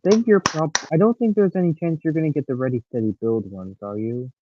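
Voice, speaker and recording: A man's voice: a little high, brisk. A man in his late teens chatting as a stream guest, hesitant but opinionated. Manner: hesitant, animated, opinionated fan. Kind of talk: casual chat. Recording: tinny phone-like call line with faint hiss.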